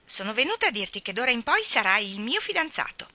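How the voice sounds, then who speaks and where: A woman's voice: fairly high pitch, very animated, fast talker, bright, forceful tone, no room sound, voice pushed up, full character voice. A woman voicing a spoilt, stubborn seven-year-old girl with a disdainful, insistent way of talking, in a storybook reading. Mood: haughty, insistent.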